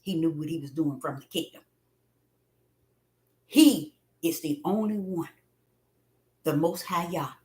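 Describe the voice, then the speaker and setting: A woman preaching a livestream sermon in a repetitive, preachy manner that swells into fervent shouts. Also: low-pitched, a little slow, long pauses, echoey room.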